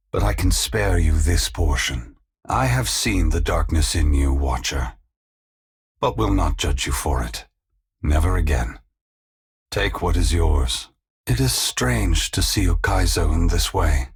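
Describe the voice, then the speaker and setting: A weary, compassionate god for a video game, low and very heavily gravelled. The voice is husky and rasping. He speaks with solemn, measured gravity and formal, philosophical phrasing. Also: full character voice. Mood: solemn, resolute, then wistful. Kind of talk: video game voice acting, dramatic dialogue.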